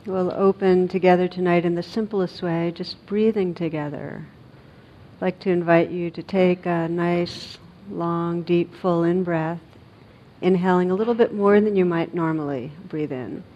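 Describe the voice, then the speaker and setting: A woman meditation teacher leading a guided meditation in a gentle, unhurried manner with long pauses, on a recording with some noise. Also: steady tone. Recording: dull recording with noise and rumble.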